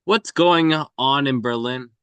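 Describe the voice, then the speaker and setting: A man's voice: mid-range voice, slow, fairly bright tone, clear, resonant tone, no room sound, lo-fi sound. A man playing a cold hunted assassin in a screenplay table read, terse and demanding as he interrogates. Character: cold, relentless male assassin on the run, interrogating.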